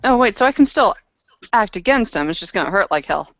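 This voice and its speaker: A woman's voice: medium pitch, animated, fairly quick, a bit bright, no room sound. Woman gaming on a dry, dull recording. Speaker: tabletop game player. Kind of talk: casual chat during a game.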